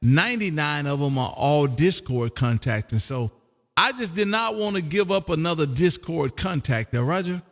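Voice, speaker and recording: A man's voice: on the high side, big pitch swings, moderate pace, fairly bright tone. Echoing older man, casual radio chat. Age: older, about 60. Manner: folksy, often tags sentences with "man". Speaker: amateur radio live stream host. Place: home radio room. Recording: long echoing room reverb.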